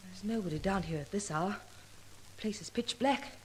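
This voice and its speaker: A woman's voice: medium pitch, normal speed, lightly acted. An actress playing an anxious, loyal female companion to a sleuth in melodramatic 1940s radio acting. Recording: noisy vintage radio recording with clicks.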